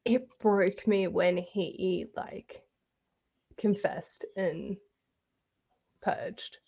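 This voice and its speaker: A woman's voice: medium pitch, steady tone, very slow, breathy edge. Woman chatting casually on slightly dull audio. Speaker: book discussion co-host. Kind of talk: casual book chat.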